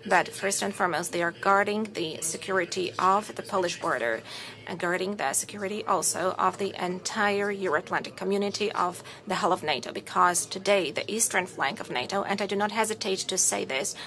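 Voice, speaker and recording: A woman interprets a formal speech into English at a steady, measured pace. Her delivery keeps to stately courtesy phrases. Her voice sits at an ordinary middle pitch.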